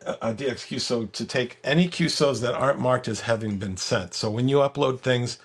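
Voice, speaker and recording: A man with a gravelly, husky voice giving a casual software walkthrough as the guest, halting and repeating words as he explains. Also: mid-range voice. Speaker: software creator giving a product demo as guest. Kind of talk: casual screen-share walkthrough.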